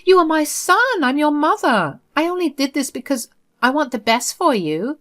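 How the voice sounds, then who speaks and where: A woman's voice: high-pitched, sing-song, moderate pace, fairly bright tone, pitched above the performer's own voice. A woman voicing a self-righteous older mother-in-law, whiny and indignant, in a read-aloud story. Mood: whiny pleading.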